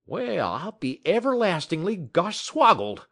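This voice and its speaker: A man's voice: medium pitch, sing-song, unhurried, voice pushed up. A man doing a folksy frontier dog musher. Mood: astonished. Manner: folksy drawl, dropped g's. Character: rough frontier male dog musher, blunt.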